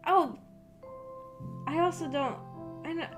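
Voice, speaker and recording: A woman's voice: sits high, slow. A young adult woman chatting casually to livestream viewers as a VTuber streamer, in an informal, rambling and hesitant way. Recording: background music under the voice. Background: background music.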